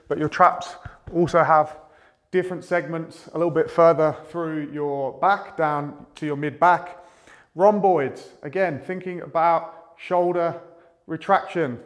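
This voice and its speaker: A man in his thirties with a fairly high voice gives an instructional talk as a fitness coach. He explains step by step in a measured, methodical manner, speaking to camera in a small room. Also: fairly even intonation. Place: small indoor room with a whiteboard.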